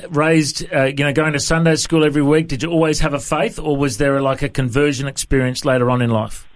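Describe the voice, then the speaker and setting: A man hosting a radio interview, asking friendly, steady questions with the odd "uh". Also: a little high, a little fast. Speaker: radio host.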